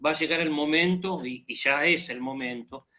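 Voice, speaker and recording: Chatty Argentine man, bright tone, lo-fi call. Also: a little high, normal speed. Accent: Argentine Spanish. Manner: chatty, joking, many fillers. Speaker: guest speaker. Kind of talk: casual online conversation.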